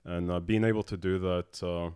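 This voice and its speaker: A man in his thirties from Abu Dhabi, a tech co-founder talking as a podcast guest in a halting way with many filler sounds. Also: deep voice, steady tone, darkish tone, smooth. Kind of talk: casual interview talk.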